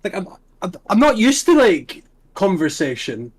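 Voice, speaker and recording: A young Scottish man chatting casually on a tier-list panel, rambling and animated, swearing freely and restarting his sentences. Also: high-pitched, normal speed, slightly rough. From Scotland. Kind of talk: casual chat.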